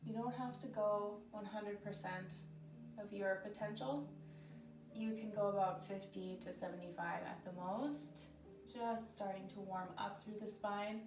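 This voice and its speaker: A woman teaching a yoga class, giving gentle, steady cues with a slightly scratchy voice and clearing her throat now and then. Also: medium pitch, a little slow. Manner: calm, gentle cueing with frequent throat clearing. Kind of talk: guided yoga instruction. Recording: roomy echo from a distant mic with background music. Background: soft music.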